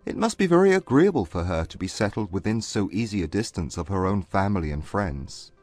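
An actor playing a proud, reserved gentleman in a dramatized audiobook, speaking formally and with measure. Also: deep voice, expressive, brisk, dry recording, soft background music. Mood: polite, probing. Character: Mister Darcy, proud reserved English gentleman.

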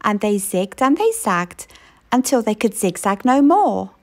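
A woman reading a children's picture book aloud in an expressive storytelling way. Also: medium pitch. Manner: expressive storytelling, slow and lilting.

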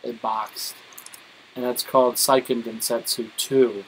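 A man talking casually as a let's play co-host, rambling through his stories a bit haltingly. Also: mid-range voice, flat delivery, slight background noise, rough recording. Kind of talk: casual chat over gameplay.

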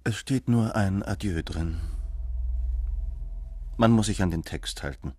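A man's voice: lowish voice, a little slow, mellow, slightly rough. A man acting a shy, bookish old poet in a radio play, reserved and hesitant.

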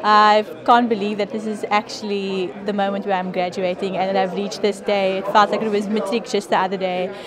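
A woman's voice: mid-range voice, little pitch movement, a little fast, hardly any pauses. An earnest young woman speaking into a handheld mic. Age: young adult. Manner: earnest, heartfelt. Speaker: graduate. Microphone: handheld furry mic. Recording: busy crowd behind.

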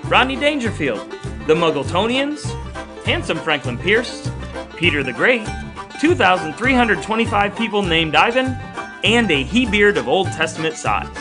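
A man's voice: high-pitched, very animated, normal speed, music in the background. A man co-hosting a podcast, chatting and presenting from partly scripted notes. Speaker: podcast co-host.

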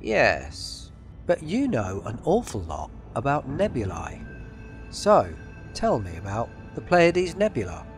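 A man's voice: medium pitch, wildly swooping pitch, slow. A man presenting a product demonstration, mixing scripted promotional narration with spoken commands. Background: music behind the voice.

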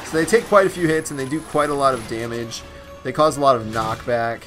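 A man hosting a let's play, commenting on gameplay in an excitable, enthusiastic way, his voice raspy and a bit stuffed up. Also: medium pitch, a little fast, music in the background.

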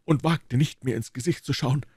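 A man's voice: mid-range voice, normal speed, darkish tone, slightly rough, no room sound, clean studio sound, pitched above the performer's own voice, natural voice. A man voicing a stout, flustered police constable in an audiobook, pleading and eagerly excusing himself. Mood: explaining. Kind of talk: audiobook character voice.

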